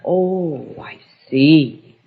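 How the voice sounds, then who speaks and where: Animated, indulgent mother. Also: deep voice, drawn-out words, vocal fry, breathy edge, heavily acted. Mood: amused, chiding. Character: indulgent, mildly stern mother of a young girl. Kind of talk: mid-century American radio drama acting. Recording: muffled, noisy old radio recording.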